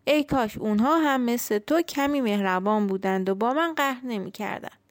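A tired old tree grumbles and pleads in a shaky, raised voice, as a woman puts it on. The tone carries a gravelly edge, and the sound is dry and close with light music underneath. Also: sits high, no echo, voice pushed up. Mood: wistful. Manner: pleading, trembling complaint. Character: grumpy weary old male tree, easily tired.

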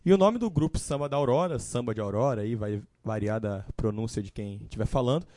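A man in his early twenties with a Carioca Portuguese accent, telling his story as a guest in a casual live-stream chat, relaxed and full of fillers. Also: mid-range voice, brisk, no echo, studio quality. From Rio de Janeiro, Brazil. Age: 20s. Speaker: guest musician.

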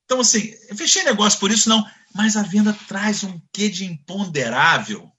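A man's voice: high-pitched, brisk. A man in his fifties with a Carioca accent, telling his career story in an interview and acting out the voices in his anecdotes. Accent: Carioca Portuguese. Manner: animated, acts out voices in anecdotes. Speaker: guest.

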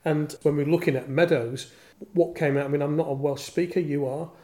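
Mellow man interviewing in an echoey room. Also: a little high, fairly even intonation, brisk. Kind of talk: conversational interview questions. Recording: roomy, echoing sound.

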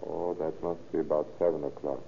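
A man's voice: extremely low pitch, little pitch movement, fairly quick, gravelly, warm tone, soft background music. Muffled old radio Mexican suspect, humble man. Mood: deferential, uneasy. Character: nervous Mexican male suspect, humble and protesting innocence. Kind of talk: 1930s-40s radio drama acting. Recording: muffled, noisy old-time radio recording.

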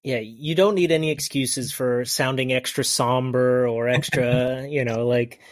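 A man's voice: medium pitch, fairly even intonation, measured pace, no echo, studio quality. A man co-hosting a casual sports podcast, talking in a rambling way with frequent restarts. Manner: rambling, many restarts and fillers. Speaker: podcast co-host.

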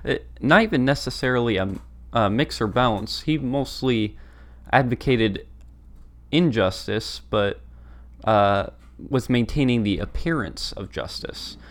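A man co-hosting a discussion podcast, explaining ideas in a measured way with a few filler pauses. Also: medium pitch. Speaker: podcast co-host.

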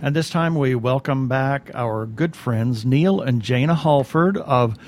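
A man's voice: medium pitch, measured pace, a little mellow, slight background noise. A man co-hosting a podcast, making announcements in a casual, chatty style. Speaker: podcast co-host.

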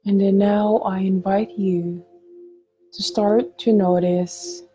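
A woman guiding a meditation in a gentle, soothing, unhurried voice, leaving long pauses between phrases. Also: mid-range voice, flat delivery, faint music behind. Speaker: meditation guide. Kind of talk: guided meditation narration.

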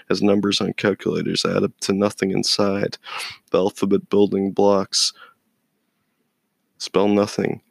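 A man reciting spoken-word poetry as a poet, in a brooding, measured, dramatic delivery. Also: on the low side, gravelly, no echo.

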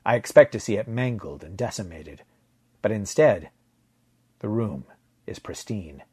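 Male narrator for sci-fi audiobook: low husky voice, measured and dramatic, very dry recording. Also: expressive, darkish tone, dry recording. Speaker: fiction narrator. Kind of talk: first-person audiobook narration of a science fiction story.

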